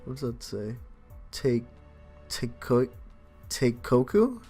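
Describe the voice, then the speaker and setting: A man's voice: medium pitch, big pitch swings, slow pace, mellow. A man doing casual let's play commentary, reading game narration aloud and adding informal, joking asides. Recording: faint game music behind.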